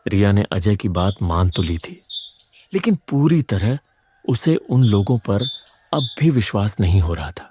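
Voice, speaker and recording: A man's voice: on the low side, very animated, measured pace, warm tone, faint music behind. A man reading scripted narration for a moral story as its narrator.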